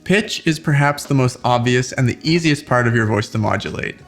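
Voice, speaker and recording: A man's voice: mid-range voice, fairly quick, faint music behind. A man hosting a scripted how-to video, speaking in an instructional way with playful, demonstrative emphasis. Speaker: host giving tips for tabletop RPG character voices.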